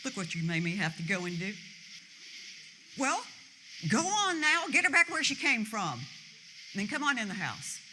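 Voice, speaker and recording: A woman's voice: medium pitch, big pitch swings, moderate pace, pauses often, bright, forceful tone, a little hiss or hum. A woman acting as a stern, scolding household authority in a screen drama. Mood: stern, menacing.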